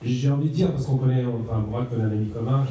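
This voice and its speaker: A man in the audience puts a question to the panel, speaking quickly and earnestly. His voice is dark and low-key. It reaches the mic from far off in a very echoey room. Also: fairly even intonation, slight background noise. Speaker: audience member asking a question. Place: gallery room.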